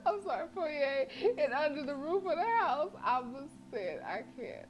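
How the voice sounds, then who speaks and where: A woman's voice: high-pitched, big pitch swings, a little slow, muffled recording. A woman livestreaming a visual novel, reading it aloud with playful, slangy asides. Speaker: video game streamer. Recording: music playing behind the voice. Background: game music.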